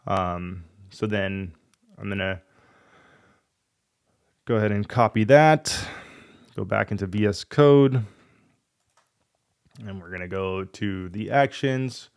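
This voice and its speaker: A man's voice: fairly low pitch, slow, pauses often, darkish tone, clear, resonant tone. A man with a cold and a fading voice, talking casually through a coding walkthrough and muttering in frustration as he debugs. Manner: thinks aloud, frustrated muttering while debugging. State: losing his voice, has a cold. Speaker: coding tutorial host.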